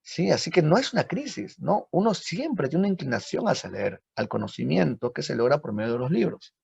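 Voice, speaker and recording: Animated male teacher, gravelly. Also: fairly high pitch, fairly quick, dry recording. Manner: lively, asks rhetorical questions, frequent tag "¿no?". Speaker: literature teacher. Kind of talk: online literature class. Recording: dull lo-fi dry audio.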